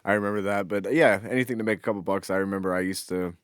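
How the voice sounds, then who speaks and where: Guy w really deep voice just chatting on a podcast, super dry sound, kinda sweary. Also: rapid-fire, clean tone, no echo. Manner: informal, swears casually. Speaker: podcast co-host. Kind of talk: casual chat.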